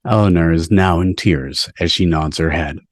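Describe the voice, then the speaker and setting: A man's voice: deep voice, normal speed, no room sound, clean studio sound. A man reading scripted stage directions at a table read, recorded over a video call. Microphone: video call microphone.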